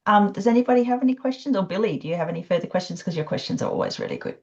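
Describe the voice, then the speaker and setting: A woman's voice: medium pitch, animated, racing, creaky. A woman hosting a live online session, chatty and effusive with plenty of fillers, over a video call. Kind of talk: casual chat. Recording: dull lo-fi call audio.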